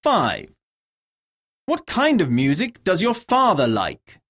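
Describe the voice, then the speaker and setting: Woman doing english lesson narration, super slow and careful, a bit low and raspy. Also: wildly swooping pitch. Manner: deliberate, enunciated reading with pauses between items. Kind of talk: scripted English lesson narration, reading words and dictation.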